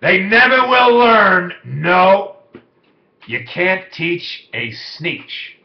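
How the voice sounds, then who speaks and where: A man reading a children's rhyming story aloud, with theatrical cadence and big shifts in volume. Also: high-pitched. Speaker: storybook reader. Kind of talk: dramatic children's story narration. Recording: muffled lo-fi, echoey room.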